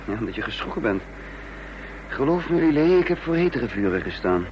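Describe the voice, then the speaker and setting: An actor playing a tense, commanding conspirator and first-person narrator in a radio drama, emphatic and dramatic. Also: male voice, mid-range voice, big pitch swings, fairly quick, dull audio, close to an everyday voice. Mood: reassuring. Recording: noisy, humming old radio recording.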